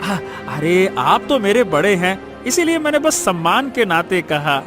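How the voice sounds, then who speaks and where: A man voicing a greedy, two-faced village shopkeeper in an animated moral story, with an oily, exaggerated manner. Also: sits high, measured pace, music in the background. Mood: fawning, wheedling. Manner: obsequious, wheedling with chuckles, turning snide.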